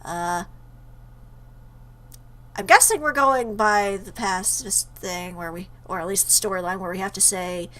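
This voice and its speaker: A woman's voice: medium pitch, a little slow, pauses often, sharp tone. A woman hosting a let's play, chatting playfully and reacting aloud as she reads through a game. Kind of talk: gaming commentary with read-aloud game text.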